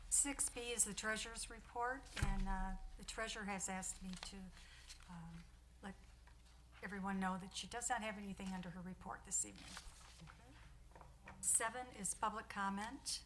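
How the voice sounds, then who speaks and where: A woman reading the agenda and public comment rules aloud at a city council meeting, in a steady procedural manner. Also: medium pitch, moderate pace, background noise. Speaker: city clerk. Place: council chamber.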